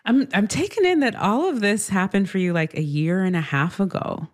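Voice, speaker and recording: A woman interviewing someone in an informal, gently probing conversational way. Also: mid-range voice, lively intonation, moderate pace.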